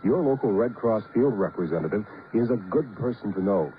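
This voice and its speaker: Animated male announcer on tinny radio. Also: on the low side, a little fast. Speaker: public service announcer. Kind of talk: scripted radio public service announcement. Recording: narrow tinny radio recording, faint noise.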